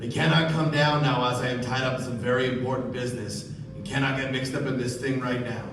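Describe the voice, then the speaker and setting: A man's voice: mid-range voice, steady tone, a little fast, music in the background, natural voice. A man playing a slippery, self-important older underworld businessman in a live radio play, with emphatic, colloquial delivery. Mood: formal, evasive. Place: live stage performance. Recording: echoey distant stage, background noise.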